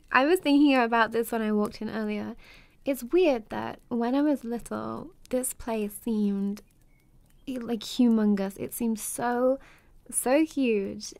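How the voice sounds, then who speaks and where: Woman acting as a playful young best friend, high-pitched, creaky edges, giggly, teasing, intimate ASMR roleplay. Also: takes it slowly, dry recording. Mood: welcoming, fond. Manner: giggly, teasing, hesitant casual phrasing. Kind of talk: intimate ASMR roleplay, casual one-sided chat.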